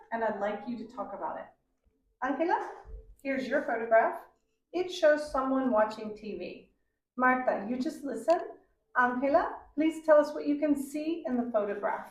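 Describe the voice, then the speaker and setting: Examiner woman, echoey room from a distance. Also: on the high side. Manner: clear, slow, deliberate questioning. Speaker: examiner, English teacher. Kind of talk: mock speaking exam questions.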